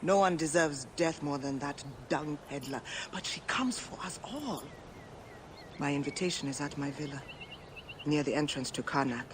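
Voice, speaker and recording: An actress playing a brash, suspicious gambler and historian in a video game, with a sardonic, salty way of talking. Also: female voice, sits low, moderate pace, noisy background, natural voice. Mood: bitter, sardonic. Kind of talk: dramatic video game acting. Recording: music behind the voice.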